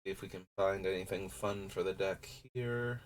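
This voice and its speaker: A man with a slightly low, stuffy-nosed voice, chatting to a live stream as he sorts through cards. He rambles and thinks out loud, full of "um" and "like", and his nasal tone is clear throughout. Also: fairly even intonation, normal speed. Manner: rambling, thinking aloud with fillers. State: runny nose. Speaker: streamer building a card game deck. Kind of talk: casual live-stream talk.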